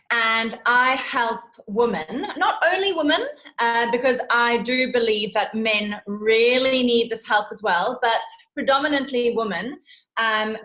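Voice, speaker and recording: A woman's voice: a little high, a bit bright. A woman with a South African accent explaining her work as a coach in an earnest, emphatic, conversational way. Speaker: interview guest, self-love and confidence coach.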